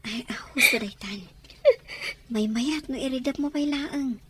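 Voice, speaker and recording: Soothing, earnest friend, highish voice. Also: female voice, unhurried, bright, forceful tone, slight background noise. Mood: soothing, concerned. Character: caring female friend consoling a hurt young woman. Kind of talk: radio drama acting.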